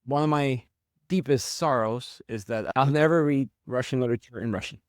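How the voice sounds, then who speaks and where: Guest: man, mid pitch, dry close sound, thoughtful, stops and restarts. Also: dry recording. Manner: reflective, halting with frequent restarts. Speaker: guest, founder of a literature platform. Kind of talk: casual interview conversation.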